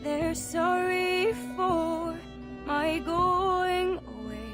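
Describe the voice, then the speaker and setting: Farewell ballad singer, wistful, very high sung voice, extremely slow, music behind. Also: female voice, full character voice.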